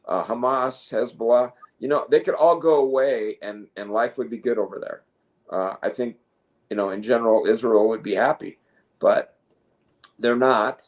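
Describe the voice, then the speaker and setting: A man co-hosting a news commentary show, speaking casually and haltingly, opinionated, at times reading from notes. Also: mid-range voice. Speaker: co-host.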